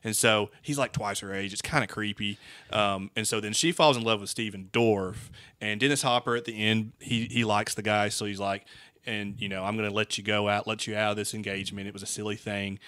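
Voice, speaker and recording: A man's voice: lowish voice, fairly even intonation, brisk, pushed and bright, dry recording. A man co-hosting a casual movie podcast, stammering and rambling as he retells plots at length. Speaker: podcast co-host. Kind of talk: casual chat.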